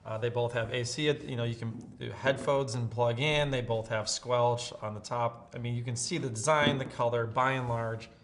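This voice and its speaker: A man in his thirties talks through a product comparison in a casual, conversational style. His voice sits at an average pitch for a man. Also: fairly even intonation, normal speed, hardly any pauses, a bit bright, slight background noise. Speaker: product reviewer. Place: room with brick wall, at a table.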